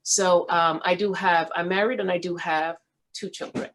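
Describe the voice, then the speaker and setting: A middle-aged woman with a Guyanese accent, a physician introducing herself on an online panel in a relaxed, chatty way. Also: on the low side, normal speed, rough recording. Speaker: physician guest.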